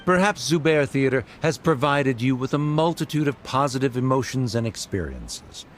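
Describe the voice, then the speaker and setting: An actor playing a haughty, coldly rational scholar in a video game, speaking formally and condescendingly. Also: male voice, medium pitch, animated, normal speed, soft background music, natural voice. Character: haughty male scholar accuser, cold and coolly rational. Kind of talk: scripted video game dialogue.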